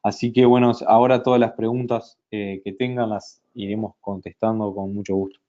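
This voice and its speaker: A man presenting a software product in a webinar, talking slowly with many fillers and restarts. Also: lowish voice, steady tone, muffled recording. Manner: hesitant, many fillers and restarts, speaks slowly in Spanish for a Brazilian audience.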